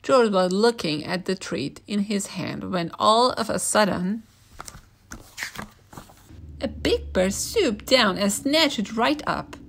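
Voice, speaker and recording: A woman's voice: medium pitch, expressive, slow pace, vocal fry. Female storyteller for a children's picture book read-aloud, mid-range voice.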